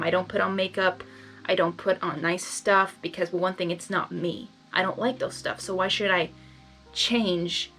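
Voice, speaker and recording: A woman's voice: mid-range voice, fairly bright tone. A woman reading a story aloud, with candid, rambling and informal personal asides. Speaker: fan fiction reader and commentator.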